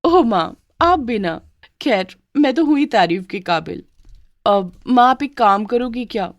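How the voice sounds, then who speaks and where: A woman voicing a spoiled, arrogant young daughter-in-law in a cartoon story, high and demanding. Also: lively intonation, measured pace, no room sound, highs cut off. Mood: sugary. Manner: high, demanding, sugary to elders, sharp to the maid.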